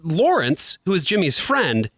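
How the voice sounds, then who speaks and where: A man co-hosting a podcast, giving a casual comic recap in a halting, wry way. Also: on the high side, huge pitch swings, a bit bright, dry recording, studio quality. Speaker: podcast co-host.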